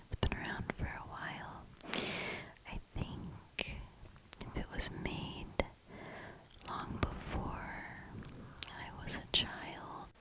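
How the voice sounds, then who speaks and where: A woman doing soft ASMR storybook reading and quiet commentary in a breathy, gentle, hushed whisper. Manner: slow, gentle, hushed delivery.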